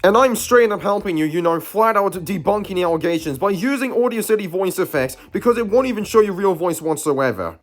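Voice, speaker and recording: High-pitched man in an argumentative rant. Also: lively intonation, a little fast, hardly any pauses, faint music behind. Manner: argumentative, rambling. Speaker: YouTube host. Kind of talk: casual commentary rant.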